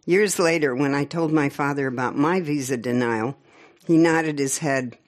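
An older woman whose first language was Norwegian, reading from her own memoir in a measured way, with small slips and spoken asides. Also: low-pitched. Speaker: author.

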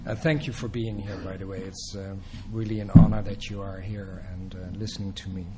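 A man with a Dutch accent giving a public lecture, often pausing with filler sounds. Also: deep voice, fairly even intonation, crowd noise. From Netherlands. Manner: hesitant, many filler sounds. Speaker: guest speaker.

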